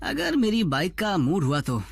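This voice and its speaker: Stammering cartoon teen boy, lively voice, eager, music behind. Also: high voice, moderate pace, vocal fry, full character voice. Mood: pleading. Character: 16-year-old boy, nervous, talkative. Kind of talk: Hindi cartoon dub. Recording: dubbed with music underneath.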